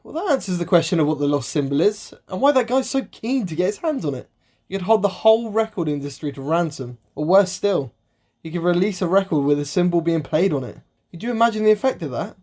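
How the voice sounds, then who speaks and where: An eager, impatient female sidekick in an amateur radio mystery, played by a woman. Her voice is lowish and soft-edged, swinging widely in pitch as she banters cheekily and excitably. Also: quick pace. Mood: intrigued. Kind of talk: amateur radio play acting. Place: recorded alone at home.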